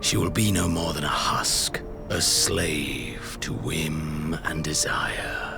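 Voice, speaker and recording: Villainous game prince: rock-bottom pitch, gravel, languid menace, music underneath. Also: male voice, full character voice. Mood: cold, commanding. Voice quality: very deep, gravelly. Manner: slow, deliberate, aloof, formal. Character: cold, imperious warrior prince, languid and menacing. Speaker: voice actor. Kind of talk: dramatic video game acting.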